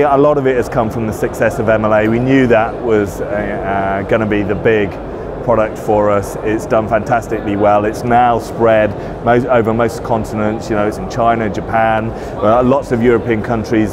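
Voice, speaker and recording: A man's voice: on the low side, steady tone, normal speed. A man in his forties, a company director, speaking conversationally and enthusiastically in an interview, often restarting his sentences. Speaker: managing director being interviewed. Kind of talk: conversational trade-show interview. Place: large indoor trade show floor. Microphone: lapel. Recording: noisy busy exhibition hall. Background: loud trade show ambience.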